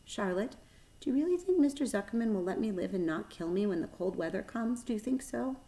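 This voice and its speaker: A woman's voice: mid-range voice, lively intonation, fairly quick, dark tone, natural voice. A woman voicing an anxious, innocent young male pig from a storybook, pleading as he talks.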